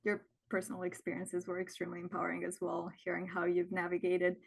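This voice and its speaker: A woman's voice: fairly low pitch, completely flat delivery, quick pace, gravelly, husky, low-quality recording. A woman hosting a webinar over a video call, reading questions from the chat and earnestly thanking the presenter. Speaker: webinar host.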